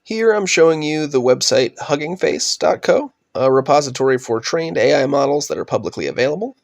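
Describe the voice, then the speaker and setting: Raspy young man presenting slides. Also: a little high, a little fast, no room sound, clean studio sound. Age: young adult. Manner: steady, explanatory, trails off at sentence ends. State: raspy from a cold. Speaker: undergraduate researcher presenting. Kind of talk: scripted slide presentation narration.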